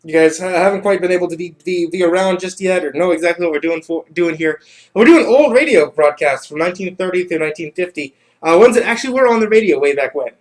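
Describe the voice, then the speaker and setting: Man, fairly high voice, livestream host, casual chat, halting delivery with repeated words. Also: animated, poor audio.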